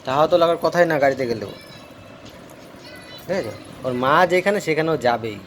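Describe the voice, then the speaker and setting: Higher-pitched man chatting over background noise. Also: lively intonation, moderate pace. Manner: repeats short phrases. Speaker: family vlogger. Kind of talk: casual home vlog chat, talking to viewers. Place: at home with a small child.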